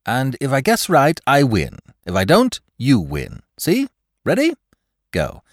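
A man reading aloud, voicing a flippant, excitable aristocratic amateur detective. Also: unhurried, no echo, studio quality, exaggerated voice. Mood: playful. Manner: flippant, theatrical, high-spirited.

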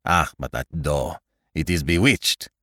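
Fussy, superior male detective, voiced by a narrator, brisk, low-pitched, precise mystery storytelling. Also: wildly swooping pitch, no room sound, studio quality, exaggerated voice. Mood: irritated. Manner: brisk, precise, gently chiding. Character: shrewd, self-assured, fussy male detective, calmly superior. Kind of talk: dramatised audiobook reading of a mystery story.

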